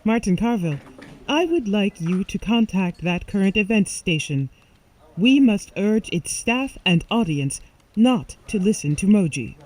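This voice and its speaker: A woman's voice: mid-range voice, animated, unhurried, a little mellow, slight background noise, close to an everyday voice, rough recording. A woman playing a commanding machine-bodied alien with formal speech in a radio drama. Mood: urgent.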